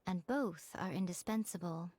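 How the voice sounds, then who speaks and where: An actress playing a sly, teasing, elegant shrine maiden in a video game, with a poised and wry manner. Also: female voice, fairly low pitch, moderate pace, a little mellow, close to an everyday voice. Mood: assured, gentle. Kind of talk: scripted video game character dialogue.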